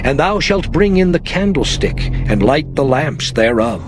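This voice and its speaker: A solemn divine male figure, acted by a man, issues measured commands in a scripture drama. The voice sits a little high for a man, with prominent music playing behind it. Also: big pitch swings. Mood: solemn, commanding. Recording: voice under loud background music. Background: loud music.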